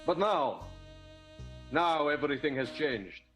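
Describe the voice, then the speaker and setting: An actor playing a courtly, drunken 1920s husband in an improvised radio soap, speaking in formal, deliberate, dramatic phrases. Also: male voice, fairly high pitch, animated, fairly bright tone, faint music behind, full character voice. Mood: solemn, resigned.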